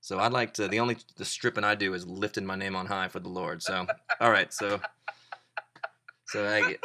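A young man hosting a show with loud, joking banter and mock-showman flourishes. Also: low voice, steady tone, normal speed. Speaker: podcast host. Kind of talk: comedic casual chat.

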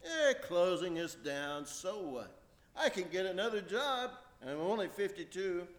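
An excitable man in his fifties, in an old-time radio play. His high voice swoops up and down, and the sound is echoey. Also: unhurried. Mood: agitated. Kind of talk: 1940s radio play acting. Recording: echoey stage recording.

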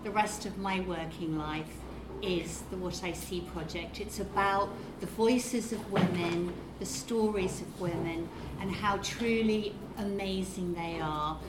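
A woman giving short, earnest remarks at a live panel in a measured way. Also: on the low side. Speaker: panel speaker. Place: event hall with live audience. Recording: echoey hall, background noise.

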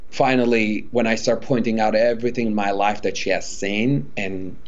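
A man from Iran, a guest speaking candidly and earnestly in an interview, often pausing and restarting his sentences. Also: lowish voice, steady tone, muffled recording. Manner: earnest, halting, with many restarts.

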